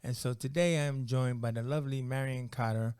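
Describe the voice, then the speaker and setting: A man's voice: mid-range voice, steady tone, a little fast, darkish tone, clear, resonant tone, dry recording, studio quality. An American man hosting a casual interview podcast, rambling and joking with lots of "like" and "you know". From United States. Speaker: podcast host.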